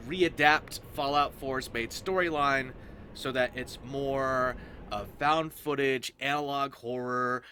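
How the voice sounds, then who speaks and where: A man's voice: mid-range voice, bright, forceful tone, slight background noise. A man giving casual, explanatory game commentary in an informal, emphatic manner, as host of a roleplay series.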